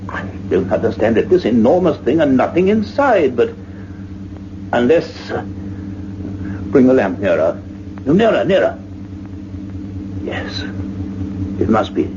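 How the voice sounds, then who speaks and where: An actor playing an earnest, intense male scientist in a radio play, with deliberate, dramatic phrasing. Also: medium pitch, very animated, noisy background. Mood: excited realisation. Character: earnest male scientist, doctor, excited yet grave and cautious. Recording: dull lo-fi radio recording, faint music.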